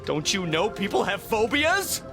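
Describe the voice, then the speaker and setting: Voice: mid-range voice, big pitch swings, a little slow. An actor playing a jittery, neurotic sea townsperson in a cartoon video game, with a blunt, exasperated delivery. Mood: panicked. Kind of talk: animated video game voice acting. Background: game music.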